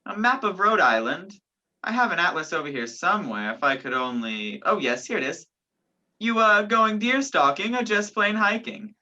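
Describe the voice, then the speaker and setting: A young woman playing a sceptical, gallant gentleman in an amateur radio play, with a theatrical, incredulous manner. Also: mid-range voice, lively intonation, normal speed. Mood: reassuring. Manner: incredulous, theatrical, old-fashioned phrasing. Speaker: student actor in a school radio play. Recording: dull lo-fi home recording.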